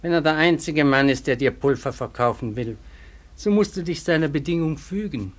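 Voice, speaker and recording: An actor in a German radio drama playing a cautious, commanding raja with deliberate, authoritative delivery. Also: male voice, a little high, lively intonation. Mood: stern, advising.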